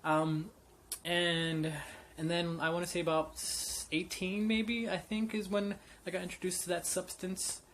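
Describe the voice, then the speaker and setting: A man's voice: sits high, level tone, a little slow, fairly bright tone, slight background noise. Candid rambling young man. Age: 20s. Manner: rambling, many fillers and restarts, candid. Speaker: vlogger. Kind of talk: unscripted personal story to camera.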